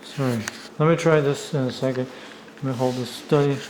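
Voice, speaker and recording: A man giving a casual, unscripted show-and-tell in a halting, apologetic way, as a hobbyist talking while he handles his camera. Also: medium pitch, fairly even intonation, darkish tone, slight background noise, low-quality recording. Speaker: amateur radio hobbyist showing a device. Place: indoors at a table. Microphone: handheld camera's own microphone.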